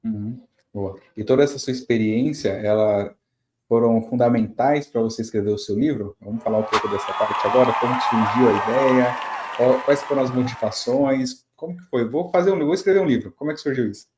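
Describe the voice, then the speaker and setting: A man's voice: medium pitch, brisk, slight background noise, low-quality recording. A man hosting an interview, giving short acknowledgments and asking long, loose questions. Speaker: host.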